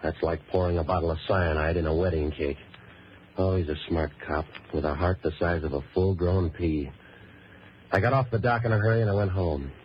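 Male actor as hardboiled American waterfront wiseguy: very low, quick, deadpan, cynical, vintage radio noir. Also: lightly acted. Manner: sardonic, deadpan. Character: wisecracking, cynical waterfront boat-rental man, hardboiled noir hero. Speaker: lead actor and narrator. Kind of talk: 1940s radio noir acting. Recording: muffled, noisy old radio recording.

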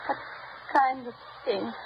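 A woman's voice: high-pitched, slow, gravelly, noisy background, full character voice. A woman playing a frail, nervous old woman in a wheelchair in an old-time radio drama, stammering and pleading. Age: older. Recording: dull lo-fi radio recording.

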